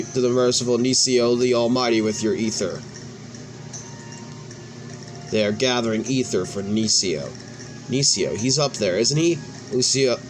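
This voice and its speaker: A man hosting a let's play, reading game cutscene dialogue aloud and sometimes stumbling and restarting words as he goes. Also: medium pitch. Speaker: gaming video host. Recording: background music behind the voice. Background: music.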